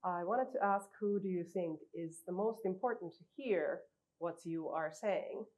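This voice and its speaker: A woman moderates a seminar Q&A. She reads the audience's questions aloud and speaks in a polite, measured way. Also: fairly low pitch, darkish tone. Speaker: seminar chair. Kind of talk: moderating a Q&A session.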